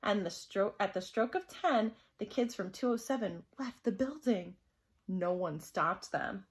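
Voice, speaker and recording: Woman, storyteller, medium pitch, children's read-aloud, expressive, dramatic narration. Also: unhurried.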